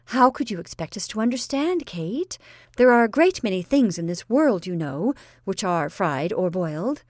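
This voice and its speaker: A prim, anxious spinster daughter, as a woman reading a story puts her on, speaking in a raised, careful voice. She is bright and proper. The recording is dry and close. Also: mid-range voice, very animated, moderate pace, no room sound, close to an everyday voice. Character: fussy, anxious middle-aged spinster daughter.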